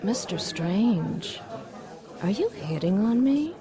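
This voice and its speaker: A woman's voice: medium pitch, big pitch swings, takes it slowly. An actress playing a seductive, haughty female demon with a sultry, coolly menacing manner in an audio drama. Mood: teasing, seductive. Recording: restaurant clatter and chatter behind.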